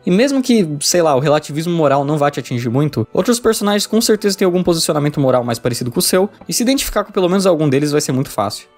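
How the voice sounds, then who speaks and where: A man's voice: fairly high pitch, big pitch swings, very fast, hardly any pauses, faint music behind. A man with a Brazilian Portuguese accent reading an informal video essay script, conversationally addressing the viewer.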